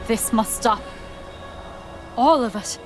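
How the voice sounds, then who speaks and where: A woman's voice: a little high, slow pace, over music. An actress playing a cautious witch who warns a friend against misusing power, in a pleading tone. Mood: alarmed. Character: cautious older witch, alarmed and principled.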